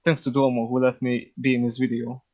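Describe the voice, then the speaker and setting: Hungarian-accented man, second-language speaker, flat tone, halting, modest guest. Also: mid-range voice, dull audio. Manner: modest, halting, searches for words. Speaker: guest game developer. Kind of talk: casual chat.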